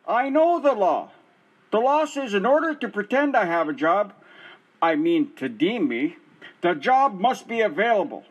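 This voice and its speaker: A man doing an exasperated injured worker, husky, high voice, lively swings. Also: measured pace, highs cut off, heavily acted. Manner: exasperated, insistent. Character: frustrated middle-aged male injured worker. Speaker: caller. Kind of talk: amateur radio play acting.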